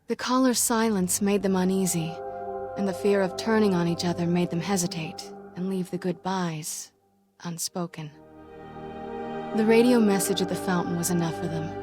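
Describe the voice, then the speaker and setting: Female actor as somber woman storyteller, slightly low voice, wistful, measured, game narration, music bed. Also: lightly acted. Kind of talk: scripted video game epilogue narration.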